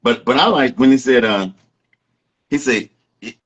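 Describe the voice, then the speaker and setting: A man hosting a casual talk, commenting reflectively in a halting way as he repeats and rephrases himself. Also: mid-range voice, muffled recording. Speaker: host.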